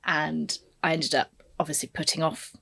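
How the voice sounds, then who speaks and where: A woman's voice: low voice, steady tone, normal speed, vocal fry, pushed and bright, slightly rough. A woman in her forties from Kenya, a podcast guest candidly reflecting on her career path. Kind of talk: podcast interview, telling her life story.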